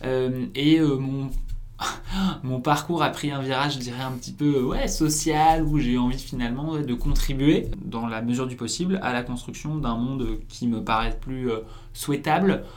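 A man's voice: medium pitch, moderate pace. A man in his twenties from northern France, a guest telling his story in a chatty way with many fillers and restarts. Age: 20s. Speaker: guest, founder. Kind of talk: casual interview.

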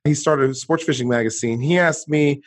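A man's voice: mid-range voice, a little fast. A middle-aged man from Sweden, an author and entrepreneur, telling his story as a guest in a conversational interview, restarting sentences as he goes. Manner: animated storytelling, frequent restarts.

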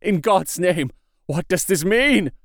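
A man's voice: on the high side, moderate pace, vocal fry, no echo, clean studio sound. A man voicing a desperate, horrified husband in a gothic audiobook, crying out urgently and begging for help. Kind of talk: dramatic gothic audiobook character voice.

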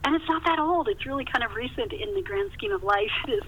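Animated, high-voiced older American woman, muffled line. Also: quick pace, a little hiss or hum. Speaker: author being interviewed. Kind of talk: conversational podcast interview.

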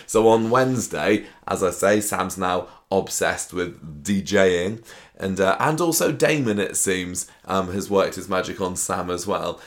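A very deep-voiced man with a sore, croaky throat talks through the week's soap storylines. He speaks casually, stumbling and restarting often. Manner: mocking impressions of characters, halting restarts. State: sore throat, losing his voice. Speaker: soap opera podcast host. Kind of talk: casual recap chat.

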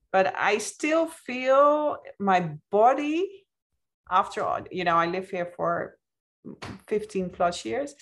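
A woman from the Netherlands with a Dutch accent, speaking reflectively and explaining things as a guest in a conversational interview. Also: on the low side. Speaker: podcast guest, witchcraft author.

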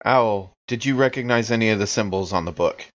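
A man's voice: lowish voice, fairly quick, lo-fi sound. A man improvising casually in character as a player in a tabletop horror game.

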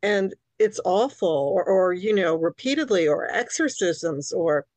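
Woman in her 60s, Hungarian first language, New York raised; animated podcast guest, dry sound. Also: lowish voice, measured pace, dry recording. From New York City, Queens. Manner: animated, repeats words when starting thoughts. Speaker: guest author. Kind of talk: conversational podcast interview.

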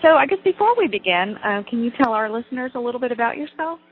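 A woman's voice: on the high side, very animated, quick pace, slightly rough. A woman hosting a show, reading a prepared introduction and asking friendly questions over the phone. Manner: reads a scripted introduction, then friendly questions. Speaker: host. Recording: tinny phone line.

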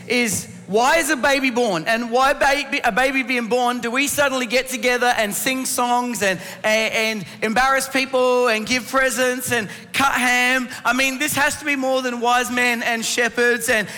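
Male preacher, laryngitis-strained but bright and forceful, addressing a congregation in a long-reverb hall. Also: very high-pitched, fairly even intonation, normal speed, few pauses. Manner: rhetorical questions, instructive, addressing the congregation directly. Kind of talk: Christmas sermon to a congregation. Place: church hall.

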